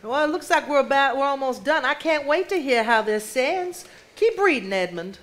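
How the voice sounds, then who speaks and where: A woman's voice: sits high, animated, normal speed, fairly bright tone. A woman playing an eager, curious family member in a live stage play. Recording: live theatre, faint room noise.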